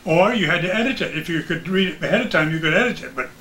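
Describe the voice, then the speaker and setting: A man's voice: fairly high pitch, expressive, fast, bright, forceful tone, slight background noise. An older man, a veteran radio broadcaster, casually reminiscing in conversation.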